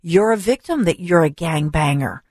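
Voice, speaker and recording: A talk radio host, a woman, delivering an opinionated rant full of rhetorical questions and repetition, her voice going hoarse. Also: on the low side, animated, normal speed, a little mellow, dry recording, clean studio sound. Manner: emphatic, rhetorical questions, repeats for stress. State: losing her voice. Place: radio studio. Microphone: studio microphone.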